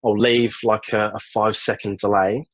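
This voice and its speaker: A man as a podcast guest, giving relaxed job advice with many fillers in casual chat. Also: fairly low pitch, moderate pace, no echo, lo-fi sound. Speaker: guest, job search business director.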